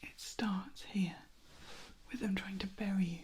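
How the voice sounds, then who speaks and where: A woman playing a protective, world-weary vampire in a soft, breathy whisper, in an ASMR roleplay. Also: medium pitch, steady tone, slight background noise, lightly acted. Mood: grave, bitter. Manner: slow, gentle, admonishing. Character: protective, world-weary female vampire tending a loved one.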